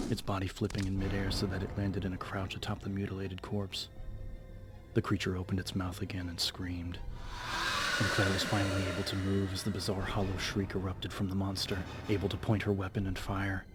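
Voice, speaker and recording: A man narrating a horror audiobook in a dramatic scripted style, with measured, suspenseful storytelling. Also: deep voice, background noise. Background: music.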